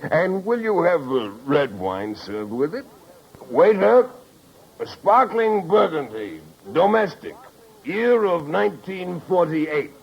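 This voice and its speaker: Tough, breezy radio gumshoe, animated and teasing, muffled 1940s broadcast. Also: male voice, sits high, takes it slowly, gravelly, heavily acted. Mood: wry, breezy. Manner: wry, slangy, teasing. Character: wisecracking tough private detective, cocky and breezy. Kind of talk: 1940s radio detective drama acting. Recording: muffled old radio recording.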